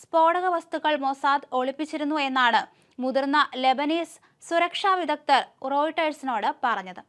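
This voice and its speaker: A woman's voice: high voice, a bit bright. A woman with a Kerala Malayali accent presenting the news as scripted narration.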